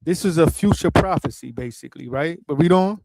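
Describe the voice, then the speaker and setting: A man's voice: a little high, expressive, gravelly, a little mellow, dry recording, rough recording. A man leading a group study over a stream, who repeats what is read, explains it and keeps asking his listeners if they follow. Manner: keeps checking in with "right?" and "you feel what I'm saying?"; repeats lines back slowly for emphasis. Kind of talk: casual spoken teaching, read-along commentary. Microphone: streaming setup, close mic.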